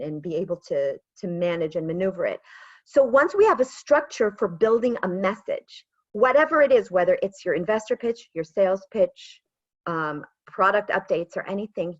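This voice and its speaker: A woman in her thirties with an American accent, coaching a webinar over a video call, chatty and animated with self-deprecating asides. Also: lowish voice, dry recording. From Israel. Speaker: pitch coach. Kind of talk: webinar presentation. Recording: dull lo-fi video call audio.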